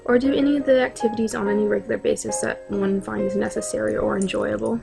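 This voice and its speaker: A woman giving personal testimony with measured, articulate phrasing. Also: mid-range voice, fairly even intonation, creaky. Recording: music behind the voice.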